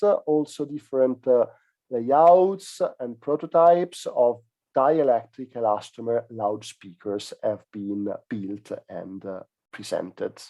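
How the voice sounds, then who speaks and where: A man giving a technical conference presentation as a researcher, explaining in a measured way with hesitant pauses mid-phrase. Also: mid-range voice, warm tone.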